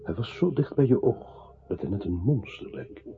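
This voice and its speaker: A male actor playing a grave, brooding narrator who speaks deliberate, poetic lines in a radio play. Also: medium pitch, animated, slight background noise, lightly acted. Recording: thin phone-like radio sound, music behind.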